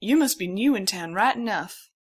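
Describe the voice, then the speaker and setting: A woman's voice: mid-range voice, lively intonation, normal speed, natural voice. A woman voicing a kindly, pushy middle-aged male pony with a Southwestern American twang and folksy country talk. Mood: hearty, insistent. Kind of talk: audiobook character voice.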